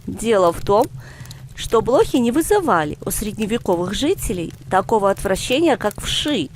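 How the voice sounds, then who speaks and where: A woman's voice: mid-range voice, sing-song, normal speed, a little hiss or hum, lightly acted. A woman voicing an older grandmother in a storybook, a teasing and knowing theatrical storyteller. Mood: storytelling.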